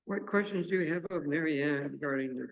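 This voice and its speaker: Gravelly-voiced man chairing a meeting. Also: on the high side, slight background noise, low-quality recording. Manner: halting, with uhs and restarts. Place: town board meeting room.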